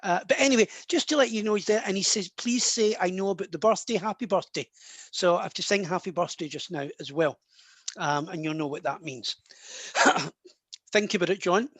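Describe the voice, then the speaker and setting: A man's voice: high voice, moderate pace, a bit bright, dry recording. A man working as a psychic medium in a live online session, talking in a chatty, rambling way full of restarts.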